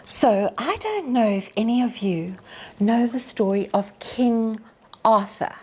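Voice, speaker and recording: Female storytime teacher, very unhurried delivery, slightly high pitch, expressive and deliberate with young listeners. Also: slightly rough. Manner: deliberate, expressive, addressing children. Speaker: teacher reading a story to pupils. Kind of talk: storytime introduction and dramatic poetry reading.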